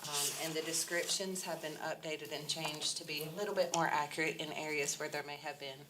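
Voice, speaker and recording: A woman presenting a county budget at a public council workshop, measured and formal with frequent ums, with a head cold. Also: low voice, fairly even intonation. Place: council meeting room. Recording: noisy public meeting recording.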